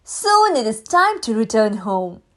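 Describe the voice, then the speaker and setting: A woman reading a children's storybook aloud in a deliberate, expressive, sing-song way. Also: a little high. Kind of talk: read-aloud storybook narration for young children.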